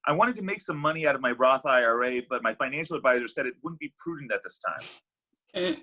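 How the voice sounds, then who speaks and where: A needy, indignant underdog matchmaker acted by a man in a comedy script. The voice is husky and forceful, swinging widely in pitch, with an emphatic, plaintive delivery. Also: expressive, a little fast, rough recording. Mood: apologetic. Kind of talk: comedy script table read.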